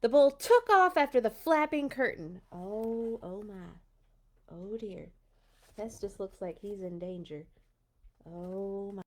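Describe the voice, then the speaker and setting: A woman reading a children's storybook aloud, dramatic and expressive, with little personal asides. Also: mid-range voice, slow pace. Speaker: storytime reader.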